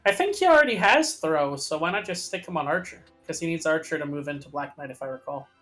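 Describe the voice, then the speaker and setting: A man with a fairly high voice talks casually over a video game, tossing in joking remarks as a co-commentator. Soft music plays behind him. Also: animated, fast talker. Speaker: co-player and commentator on a game series.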